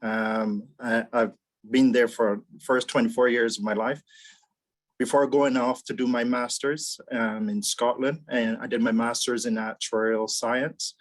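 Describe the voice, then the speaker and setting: Man, forties, mid pitch, reflective, repeats words, video call. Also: little pitch movement, unhurried. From Barbados. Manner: thoughtful, repeats words while thinking. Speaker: mentor, actuary executive. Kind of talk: casual panel talk.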